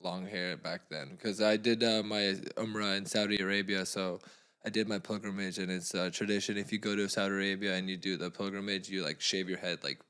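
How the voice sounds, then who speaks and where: A man in his thirties from Afghanistan, guesting on a podcast panel, tells stories in a relaxed, joking manner. His voice is fairly low. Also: a little fast, smooth. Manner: joking, laid-back storytelling. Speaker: guest panelist, fashion designer and comedian. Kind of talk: casual panel chat.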